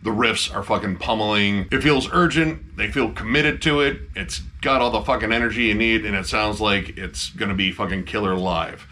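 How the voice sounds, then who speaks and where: Low, forceful male co-host; emphatic, profane, casual reviewer. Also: normal speed, few pauses, slight background noise. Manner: emphatic, swears freely. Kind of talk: casual album review chat.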